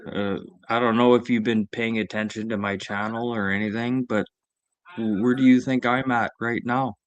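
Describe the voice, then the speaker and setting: Casting: mid-pitched man, lively storyteller, voices other people in his anecdotes, dry close sound. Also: normal speed, no echo, highs cut off. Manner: animated storyteller who acts out quotes with big pitch jumps. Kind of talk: casual chat.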